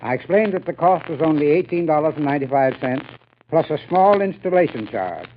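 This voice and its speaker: A man as a friendly, enthusiastic sponsor's spokesman in old radio advertising style. His voice is a little high, and the sound is tinny with some hiss. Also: lively intonation, fairly quick, lightly acted. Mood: earnest, folksy. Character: enthusiastic, friendly male heating expert giving radio sales talk. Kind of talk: 1930s radio advertising talk.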